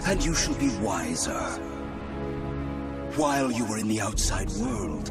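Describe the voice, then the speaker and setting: A patronising phantom lord, unhurried, hugely varied intonation, theatrical game villainy, loud score underneath. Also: male voice, fairly low pitch, big pitch swings, gravelly, full character voice. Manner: measured, theatrical, lecturing. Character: calm, patronising dead master addressing his servant. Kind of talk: video game cutscene voice acting. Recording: loud music behind.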